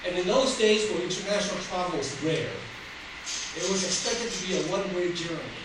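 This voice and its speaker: An older man from Taiwan, Taiwanese his first language, tells his life story in a speech and laughs at his own jokes. His voice sits fairly high. He is heard from far back in a very echoey hall, with steady noise behind him. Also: fairly quick. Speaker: public speaker. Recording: roomy hall from far off, noisy.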